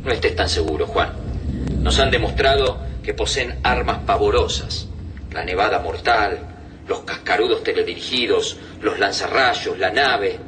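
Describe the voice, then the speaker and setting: An Argentine actor playing a grave, emphatic scientist who argues for resistance in a radio drama about alien invaders. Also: male voice, lowish voice, measured pace, noisy background, natural voice. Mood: grave, worried.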